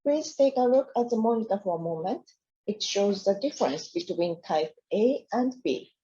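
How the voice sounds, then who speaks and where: A woman gives a scripted, formal presentation, guiding viewers slide by slide. Her voice is soft and mellow, and the recording sounds slightly dull and lo-fi. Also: mid-range voice, expressive, normal speed. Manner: polite, formal, reading from slides. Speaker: university staff presenter. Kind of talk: scripted presentation for a study fair.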